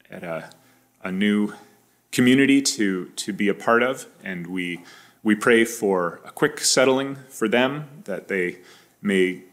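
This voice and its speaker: A man leading a congregation in prayer, speaking haltingly and often repeating words. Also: lowish voice, fairly bright tone. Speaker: prayer leader. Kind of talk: pastoral prayer.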